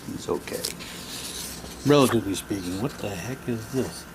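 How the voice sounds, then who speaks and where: A man's voice: on the low side, normal speed, noisy background. A man casually reading printed material aloud, switching between theatrical delivery and muttered asides.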